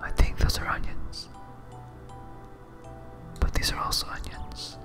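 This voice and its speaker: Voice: measured pace, over music. A person whispering a hesitant, absent-minded ASMR playthrough of a video game, thinking aloud close to the microphone. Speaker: gaming video host.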